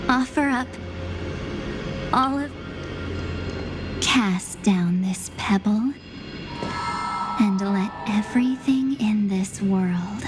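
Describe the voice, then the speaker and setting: A woman playing a cold, enigmatic young woman in a video game, speaking in a hushed, breathy, cryptic and measured way. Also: high-pitched. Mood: ominous, composed. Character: cold enigmatic young woman, a girl's hidden other self. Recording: loud music under the voice. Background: game music.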